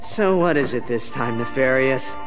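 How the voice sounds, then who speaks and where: A man's voice: mid-range voice, lively intonation, normal speed, close to an everyday voice. A man playing a cocky young alien hero in a sci-fi game, teasing and sarcastic. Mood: defiant sarcasm. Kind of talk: animated sci-fi game acting. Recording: long hall reverb, music behind.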